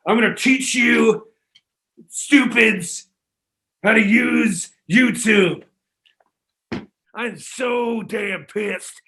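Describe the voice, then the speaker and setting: A man's voice: high voice, bright, forceful tone, heavily acted. A man playing a furious, boastful pro-wrestler champion who bellows insults in a wrestling-promo manner. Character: shouting pro-wrestler-style male champion, furious braggart. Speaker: comedy sketch character.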